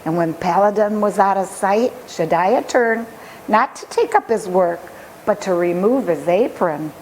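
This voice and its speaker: A woman reading a children's story aloud with expressive, dramatic and deliberate phrasing. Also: medium pitch, slight background noise, rough recording.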